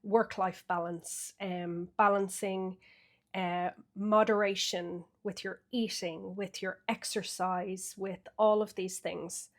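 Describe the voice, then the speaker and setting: A woman's voice: medium pitch, steady tone, takes it slowly. An Irish woman getting over a cold and a bit sniffly, chatting casually through a weekly tarot reading with many fillers. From Ireland. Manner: chatty, thinks aloud with fillers. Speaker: tarot reader.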